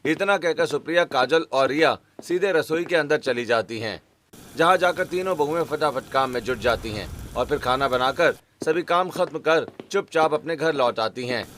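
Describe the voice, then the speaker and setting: A man's voice: fairly high pitch, normal speed, fairly bright tone, a little hiss or hum. A man narrating a scripted cartoon story as its storyteller. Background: background music.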